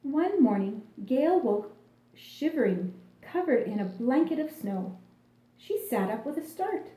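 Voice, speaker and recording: A woman narrating a children's story in an expressive, deliberate manner, recorded in an echoey room. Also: high-pitched.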